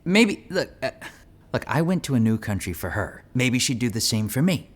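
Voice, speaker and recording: A man's voice: mid-range voice, sing-song, moderate pace. A man playing an earnest, restless young man in an audio drama, speaking sincerely and hesitantly in a naturalistic way. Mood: vulnerable.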